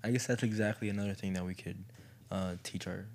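A man's voice: deep voice, steady tone, fast talker, darkish tone, a little hiss or hum. A young Kenyan man co-hosting a podcast, chatting casually and supportively. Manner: supportive, frequent short affirmations. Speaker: podcast co-host. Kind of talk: casual conversational chat.

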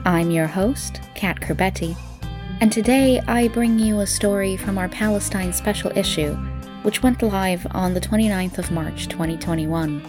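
A woman's voice: mid-range voice, normal speed, over music. A woman reading a scripted podcast introduction as the host.

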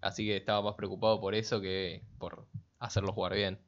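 A man's voice: on the low side, little pitch movement, a little fast. A man with an Argentine Spanish accent co-hosting a sports podcast, chatting casually with joking asides. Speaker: podcast co-host. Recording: muffled lo-fi audio with faint music.

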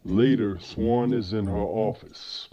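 A man's voice: lowish voice, fairly even intonation, takes it slowly, dark tone. A man reading scripted narration and announcements for an audio drama, on a slightly lo-fi recording.

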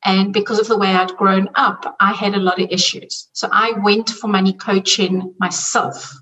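A woman's voice: medium pitch, level tone, normal speed, pushed and bright. A middle-aged woman with a South African accent, answering interview questions conversationally as a podcast guest. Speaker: podcast guest, money coach. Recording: distant, off-mic voice.

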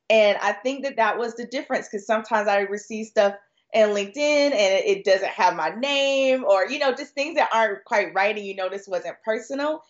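A woman's voice: medium pitch, muffled recording. An American woman answering conversationally as an expert guest on a podcast, chatty and inclined to act out short quotes. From Florida, United States.

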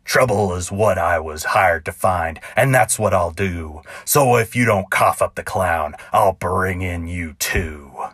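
A man voicing a gruff cowboy bounty hunter who talks low and slow. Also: fairly bright tone, dry recording, studio quality, heavily acted. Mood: menacing. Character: gruff slow-talking grown male cowboy.

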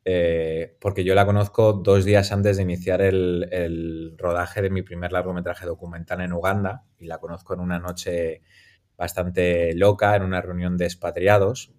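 A man with a Madrid Spanish accent, talking about his work in a relaxed interview. His voice is very low and quite monotone, and he keeps pausing, restarting and filling gaps with fillers. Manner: hesitant, many fillers and restarts. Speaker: documentary filmmaker guest. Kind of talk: casual interview conversation.

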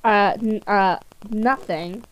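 A woman's voice: medium pitch, takes it slowly. A woman from the United States in a casual voice chat, goofy and playful, making up silly exaggerations. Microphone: home mic over voice chat.

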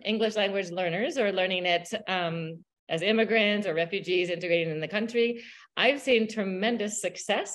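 A woman hosting a podcast for English teachers, agreeable and encouraging in conversation. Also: on the low side.

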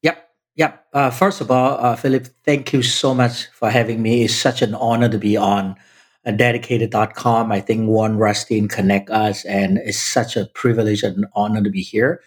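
Husky Chinese-native man telling his story. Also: lowish voice, fairly even intonation, normal speed. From Vietnam. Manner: emphatic, addresses host directly. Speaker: podcast guest. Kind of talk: personal storytelling in conversation.

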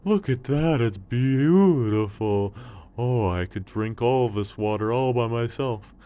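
A man's voice: mid-range voice, expressive, slow, mellow, pitched above the performer's own voice. A man voicing a big, good-natured camel in a children's story, speaking in a polite, plain and slightly hesitant way. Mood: delighted, friendly.

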